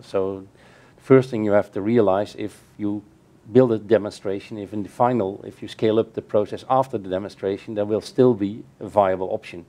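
A middle-aged man with a low, mellow voice explains his views in a measured way, often restarting his sentences. He speaks from a table in a bright room by large windows during a panel discussion. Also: fairly even intonation. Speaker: keynote speaker. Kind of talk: answering questions in a panel discussion.

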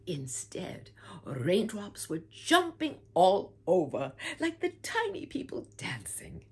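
Older woman storyteller, very husky and breathy, slow and swooping, theatrical read-aloud. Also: wildly swooping pitch, a little mellow. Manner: theatrical, swoops high and low, whispers often, calls listeners "my dears". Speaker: storybook reader. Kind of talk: expressive read-aloud for children. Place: home room with bookshelf, indoors.

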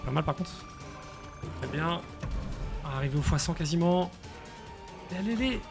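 High-voiced, gravelly streamer guy. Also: normal speed, music in the background. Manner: excitable, slangy, chats with viewers. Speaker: casino streamer. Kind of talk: live stream commentary. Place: small room indoors. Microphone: headset.

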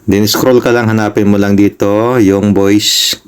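A Filipino man with a fairly low voice walks viewers through an app. He hosts casually and conversationally, explaining each step politely. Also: little pitch movement, measured pace, clean tone. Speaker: tutorial host.

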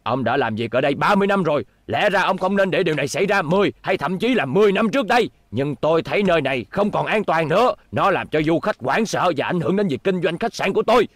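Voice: mid-range voice, animated, quick pace, hardly any pauses, dry recording, natural voice. Actor as a smug, scheming hotel tycoon. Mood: accusing. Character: scheming, smug middle-aged hotel owner villain. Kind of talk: Vietnamese cartoon dubbing. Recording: background music under dubbed dialogue.

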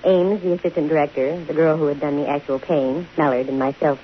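An actress playing a dry, wisecracking, self-possessed young private detective in a 1950s radio drama. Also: female voice, deep voice, steady tone, brisk, lightly acted. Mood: cool narrating. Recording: hissy, muffled 1950 radio broadcast.

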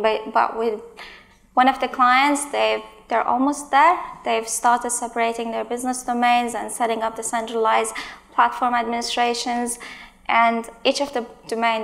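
Conference speaker, woman in her thirties, high voice with gravelly fry, emphatic and exasperated. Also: a bit bright. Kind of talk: tech conference talk with Q&A. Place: large stage in a hall.

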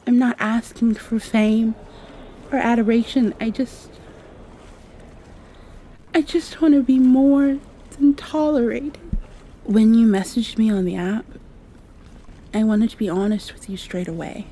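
Woman acting a soft, mellow, insecure vampire girl. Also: fairly high pitch, steady tone, a little slow, pauses often, poor audio. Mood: anxious, confiding. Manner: hesitant, soft-spoken, confiding. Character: shy, insecure half-mosquito vampire girl with hidden wings. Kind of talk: ASMR roleplay.

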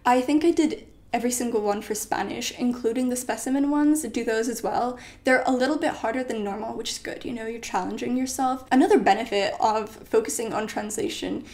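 A young woman in her late teens, a native Polish speaker, talks through exam tips in a relaxed vlog style. Her voice sits a little high, and her speech is peppered with "like" and "you know". She is filmed in her bedroom by a camera set up in front of her. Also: brisk, hardly any pauses. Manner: chatty, lots of "like" and "you know". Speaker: study vlogger. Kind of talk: casual advice vlog. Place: bedroom at home.

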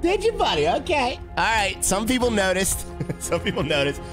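Raspy guy streaming, bouncy lively voice, reads chat out loud, soft music under. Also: sits high, moderate pace. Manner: animated, talks to chat and reads comments aloud. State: raspy throat. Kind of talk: casual live stream chat with viewers.

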